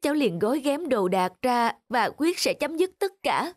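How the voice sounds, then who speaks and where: An actress playing a troubled, polite young wife, confiding earnestly and sorrowfully in an animated drama. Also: female voice, high-pitched, moderate pace, dry recording, studio quality.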